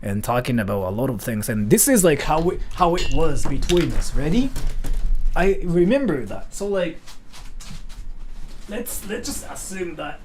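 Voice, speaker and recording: A man's voice: fairly high pitch, big pitch swings, a little slow, darkish tone, a little hiss or hum. A man from Japan, rambling through a casual story on a livestream with lots of filler words. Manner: rambling, heavy use of "like" fillers. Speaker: streamer.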